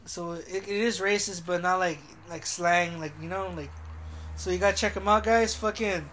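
A man's voice: high-pitched, steady tone, moderate pace, a bit bright, a little hiss or hum. A young man co-hosting a casual podcast, laid-back and swearing constantly, often trailing off mid-thought. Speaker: podcast co-host. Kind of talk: casual profane chat.

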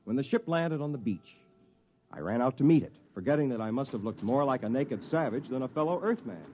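An actor playing an eager, friendly stranded Earthman in 1950s radio drama style, expressive and earnest. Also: mid-range voice, brisk, lightly acted. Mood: earnest, rueful. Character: eager, friendly castaway Earthman narrating his own story. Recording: dull, noisy vintage radio recording.

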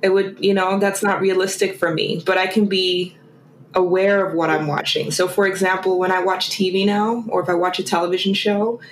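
Chatty low-voiced woman, echoey room. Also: fairly even intonation, moderate pace, a little hiss or hum. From Germany. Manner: chatty and rambling, with many "like" and "um" fillers. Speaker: guest. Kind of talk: casual conversation.

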